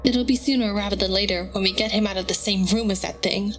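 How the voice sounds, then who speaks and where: A woman playing a wary, sharp-tongued crew member in an audio drama, skeptical and matter-of-fact. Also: mid-range voice, steady tone, fast, faint music behind, natural voice.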